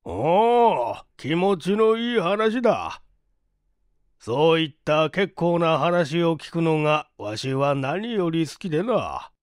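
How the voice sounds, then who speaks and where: A performer voicing a genial, naive, well-off older gentleman with a leisurely, pompous, old-fashioned way of talking in a storybook reading. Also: mid-range voice, animated, slow pace, no room sound, studio quality. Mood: pleased, self-satisfied. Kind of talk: dramatized literary reading.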